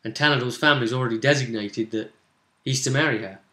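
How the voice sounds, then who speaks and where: A man's voice: medium pitch, quick pace, pushed and bright, slight background noise. A man chatting casually and narrating as game master of a tabletop role-playing game. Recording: roomy, echoing room sound.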